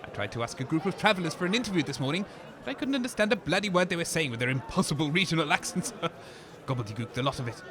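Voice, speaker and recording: A man's voice: fairly high pitch, lively intonation, very fast, background noise, lightly acted. A man playing a grumpy, scheming radio co-host in a comedy broadcast, sneering and grumbling theatrically.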